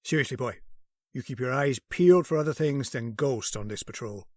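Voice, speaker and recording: An actor playing a gruff, fatherly older police sergeant in an old-time radio drama, speaking. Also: mid-range voice, a little fast, a little mellow, no echo, clean studio sound, close to an everyday voice. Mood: fatherly, teasing.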